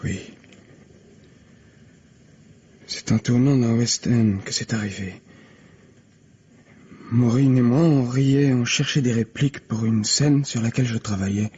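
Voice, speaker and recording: An actor playing a panicked, self-justifying playwright confessing in an old French radio crime drama. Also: male voice, mid-range voice, normal speed, mellow, a little hiss or hum, natural voice. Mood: subdued confession. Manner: agitated, self-justifying, breaking into hysterics. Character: panicked, self-pitying male playwright confessing a fatal hit-and-run. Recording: dull, lo-fi vintage radio recording.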